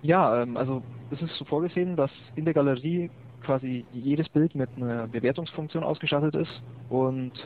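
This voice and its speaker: A man from Bavaria joins over an internet call, explaining his web project at an average pitch and pausing often on filler sounds. The line sounds thin and phone-like, with a little hiss underneath. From Bavaria, near Augsburg. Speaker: guest, web project organizer.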